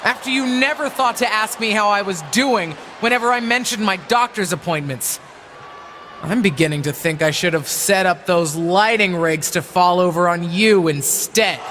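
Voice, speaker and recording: Woman playing bitter veteran female wrestler, video game promo, condescending, taunting, forceful bright voice, faint background noise. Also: mid-range voice, normal speed, natural voice. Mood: resentful, accusing. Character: bitter, scheming older female wrestling legend and aunt. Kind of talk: dramatic wrestling promo acting.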